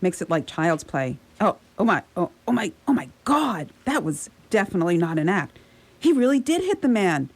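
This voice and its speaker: A woman playing an excitable onlooker in a radio play, chattering and stammering through a running commentary. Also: fairly low pitch, normal speed. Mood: excited commentary. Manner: chattering, stammering, astonished asides. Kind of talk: radio theatre acting.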